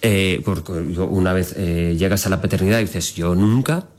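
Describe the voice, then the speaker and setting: A middle-aged man whose native language is Catalan, hosting a conversational podcast in an earnest, measured, searching way. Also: sits low, flat delivery. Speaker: podcast host and radio journalist.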